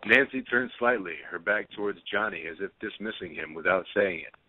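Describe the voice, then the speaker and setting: A man's voice: on the low side, brisk, a little hiss or hum. A man reading stage directions as scripted narration for a play, with narrow phone-like sound.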